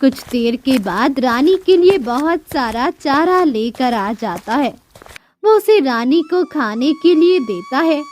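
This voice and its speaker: High-voiced woman narrating a slow story. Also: lively intonation, darkish tone, slight background noise. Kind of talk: scripted storytelling narration for a children's moral tale.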